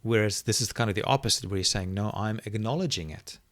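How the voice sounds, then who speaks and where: A middle-aged man whose first language is Afrikaans, reflectively telling a personal story as an interview guest and often pausing to search for words. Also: on the low side, fairly bright tone, dry recording. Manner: thoughtful, searching for words, many restarts.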